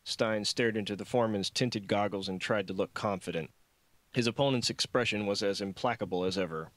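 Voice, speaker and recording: A man's voice: lowish voice, fairly quick, a bit bright, no room sound. A man reading his own novel aloud as scripted audiobook narration.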